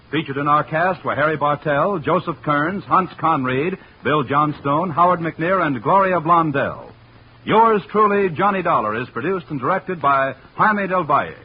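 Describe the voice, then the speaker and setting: A man's voice: fairly high pitch, a little hiss or hum. A man working as a network announcer, reading scripted credits and notices in 1950s radio style. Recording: muffled old radio broadcast, faint music.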